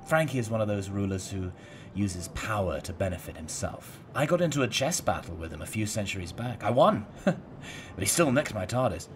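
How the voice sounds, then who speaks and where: An eccentric, centuries-old time traveller played by a man in an audio drama. His voice sits lowish and swings widely as he chatters, hedges and exclaims. There is clear noise in the recording. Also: sing-song, fairly quick. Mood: breezy, wry.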